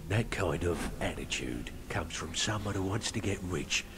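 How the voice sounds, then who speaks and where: A man playing a shrewd, gruff middle-aged thief in a fantasy game, talking bluntly and colloquially. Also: sits low, expressive, normal speed. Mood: frank. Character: gruff, shrewd middle-aged male thieves' guild fixer. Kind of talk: fantasy video game dialogue acting. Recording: noisy game capture with ambience.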